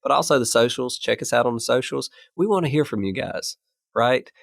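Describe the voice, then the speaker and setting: A man co-hosting a podcast, talking in a relaxed, explanatory way while getting over a chest cold that leaves his voice scratchy. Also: mid-range voice, a little fast, gravelly, no room sound, clean studio sound. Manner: conversational, explanatory, laughs easily. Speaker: podcast co-host. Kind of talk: casual podcast chat.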